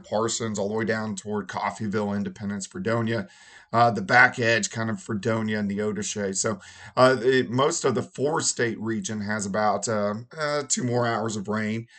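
A hoarse meteorologist in his forties talks through the weather in a loose, chatty way, with a lowish voice. He speaks from a small home office at his desk, close to his webcam. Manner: relaxed, conversational with fillers. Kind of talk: casual weather forecast.

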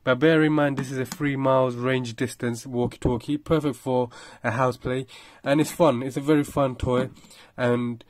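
Guy doing a casual product review, normal pitch, plain talking, bit hesitant as he explains stuff. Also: fairly even intonation, normal speed, hardly any pauses, darkish tone, dry recording.

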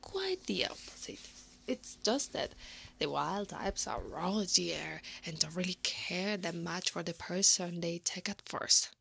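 Soft whispering centaur woman, acted by a woman, teasing. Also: fairly low pitch, animated, a little slow, exaggerated voice. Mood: coy, soothing. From Italy. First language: Italian. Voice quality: breathy, often whispering. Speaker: ASMR roleplay performer. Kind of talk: ASMR roleplay.

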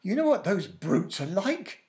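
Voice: medium pitch, sing-song, measured pace, a little mellow. An actor playing Gerald's part as an elderly, wry and fretful husband in a radio comedy. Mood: dry, exasperated. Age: older.

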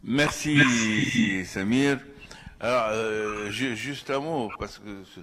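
An older man moderating a panel, speaking slowly and haltingly, repeating words, into a handheld mic. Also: fairly low pitch. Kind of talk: round-table talk. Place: festival hall. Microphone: handheld microphone. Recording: noisy background.

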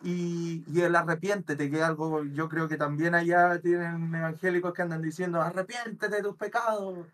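A man's voice: fairly high pitch, fairly even intonation, moderate pace, hardly any pauses, a bit bright. A young man with a Chilean accent casually explaining his own rap lines over a video call. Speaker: battle rapper explaining his bars. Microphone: webcam on a video call.

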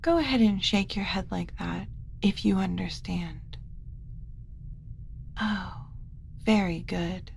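Woman playing a slow, soft-spoken motherly alien. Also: medium pitch, vocal fry, slight background noise. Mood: tender, curious. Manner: coaxing, slow, motherly, talking as if to a small child. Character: gentle doting female alien mothering a captured human. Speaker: ASMR roleplay performer. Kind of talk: ASMR roleplay, soft-spoken with frequent whispering.

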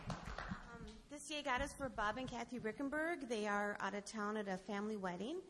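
A woman's voice: on the high side, moderate pace. A middle-aged woman casually sharing news and thanks with a congregation. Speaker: congregation member sharing announcements. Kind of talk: casual spoken testimony. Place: large church sanctuary, indoors. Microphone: microphone on a stand. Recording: echoey church hall, faint noise.

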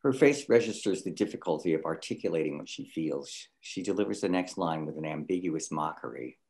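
A man's voice: a bass voice, animated, a little fast, a little mellow. A man reading stage directions as scripted narration at a table read of a drama.

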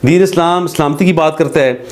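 A middle-aged man with a Pakistani Urdu accent gives earnest, measured commentary straight to camera from a studio, pausing often with hesitations. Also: on the high side, darkish tone. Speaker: advocate commentator. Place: studio with green screen backdrop.

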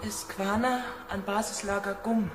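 A woman's voice: medium pitch, steady tone, unhurried, natural voice. A woman playing an uneasy expedition researcher in a sci-fi radio play, making a formal report to base camp. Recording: echoey voice under loud music.

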